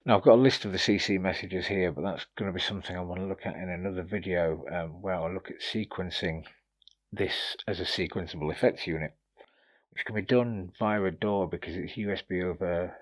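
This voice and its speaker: A man's voice: sits low, steady tone, brisk. A man giving a casual, informal product review, explaining features and tips in a slightly hesitant way. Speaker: gear reviewer presenting a demo.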